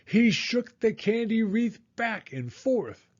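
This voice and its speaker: A man reading a storybook aloud to young children in a deliberate, expressive storytelling way. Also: high-pitched, highs cut off.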